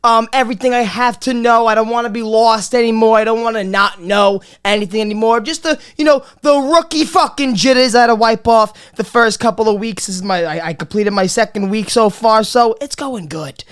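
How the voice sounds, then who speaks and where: Male comedy podcast host, clear voice though he's losing it, rambling and profane, lots of false starts. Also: high voice, fairly quick, few pauses, fairly bright tone. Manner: rambling, profane, many restarts and repeats. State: losing his voice, a bit under the weather. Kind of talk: casual comedy podcast monologue.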